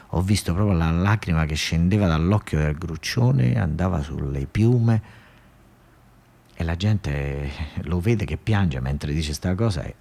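Deep-voiced southern Italian male storyteller. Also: darkish tone. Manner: conversational, repeats phrases for emphasis, halting restarts. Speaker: storyteller, monologue performer. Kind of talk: colloquial theatrical monologue storytelling for radio.

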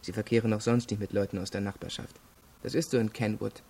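Arrogant young student with creaky voice. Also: male voice, on the low side, steady tone, fast talker, warm tone. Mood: cool, disdainful. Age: young adult. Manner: disdainful, clipped, cool. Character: arrogant, evasive rich young male student suspect. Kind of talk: radio crime drama acting.

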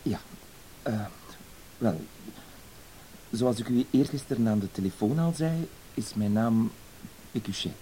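A man's voice: mid-range voice, measured pace, long pauses, dark tone, natural voice, rough recording. An actor in a radio play playing a timid, apologetic man who speaks with hesitant, stammering, insistent politeness. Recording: hissy old radio play recording.

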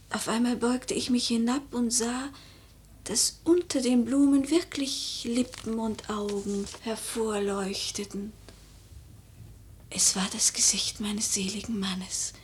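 High-voiced, imperious noble widow. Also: female voice, steady tone, slow pace. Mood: troubled, hushed. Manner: haughty, dramatic, whispery at times. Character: imperious, troubled noble widow haunted by a dream. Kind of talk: classical German radio drama acting.

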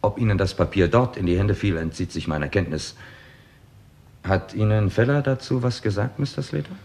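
Give the impression of a composed male detective played by a man in a sixties radio crime drama. His voice is fairly low, his formal and exact. He lays out a case methodically, pressing with rhetorical questions. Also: normal speed, darkish tone, natural voice. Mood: probing. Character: confident male police detective laying out a case, probing and composed. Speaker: actor in a crime radio play. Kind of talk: 1960s German radio drama acting.

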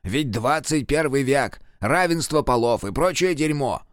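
A man's voice: medium pitch, animated, unhurried, no echo, close to an everyday voice. A man voicing a bossy, scheming old grandfather with a crude, commanding manner in an audiobook. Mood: indignant.

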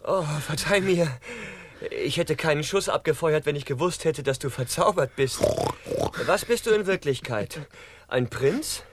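An actor playing a naive, good-hearted hunter in a theatrical, exclamatory manner for a comic radio play. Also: male voice, a little high, lively intonation, measured pace, noisy background. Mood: pleading, contrite. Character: male hunter, boastful yet contrite, naive, good-hearted.

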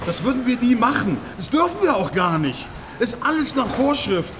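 An actor playing an aggrieved, defensive pig farm owner in a radio drama, halting and repeating himself to justify his case. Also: extremely high pitch, sing-song. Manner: halting, repetitive, self-justifying. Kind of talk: naturalistic radio drama in reportage form. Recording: loud ambient noise of an animal barn.

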